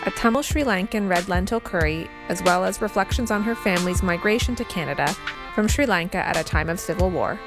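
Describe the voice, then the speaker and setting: A woman's voice: on the low side, a little fast. Woman radio host over prominent music. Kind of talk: scripted radio narration. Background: acoustic guitar and tabla music.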